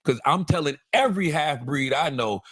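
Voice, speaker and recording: A man's voice: a little high, expressive, normal speed, a bit bright, breathy edge, dry recording. An American man hosting a podcast, talking casually and emphatically and repeating phrases to drive his point home. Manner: emphatic, repeats himself for effect. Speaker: podcast host. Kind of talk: animated casual podcast discussion.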